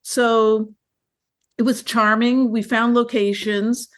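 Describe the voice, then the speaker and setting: A woman filmmaker being interviewed, telling stories about her work in a lively, chatty way with many fillers and restarts. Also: on the high side, takes it slowly. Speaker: filmmaker guest. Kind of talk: conversational interview.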